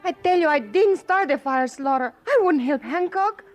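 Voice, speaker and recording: A woman's voice: sits high, expressive, normal speed, faint music behind. A woman playing a fiery, coquettish temptress in an old-time radio western. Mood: protesting. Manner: pleading, coquettish, hot-tempered. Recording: noisy vintage radio recording.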